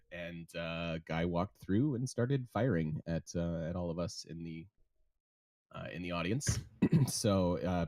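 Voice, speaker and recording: Calm low-voiced man, halting speech. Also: no echo, clean studio sound. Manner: calm, soft-spoken, halting with many ums and restarts. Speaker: interview guest. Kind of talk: hesitant personal account on a livestream.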